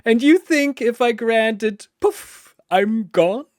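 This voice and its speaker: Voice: medium pitch, lively intonation, slow pace, darkish tone, no room sound, studio quality, pitched above the performer's own voice. A narrator voicing a manic, gleeful triangle demon with a mocking, theatrical delivery in an audio story. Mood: manic, hysterical.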